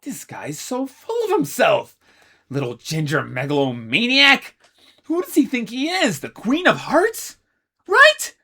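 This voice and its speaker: A man voicing a brash, hotheaded teenage boy student who yells in a very high voice. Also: big pitch swings, slow, exaggerated voice. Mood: angry, shouting. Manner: hotheaded, yelling.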